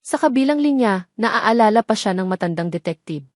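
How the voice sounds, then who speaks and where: A woman reading a scripted dramatic story as narrator, measured, with pauses between short phrases. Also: mid-range voice, lively intonation, a little mellow, no echo, clean studio sound.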